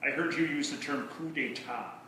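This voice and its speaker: A man's voice: medium pitch, moderate pace, pushed and bright. Man in the audience, encouraging questioner, distant in an echoey room with background noise. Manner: encouraging, praising. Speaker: audience member asking questions.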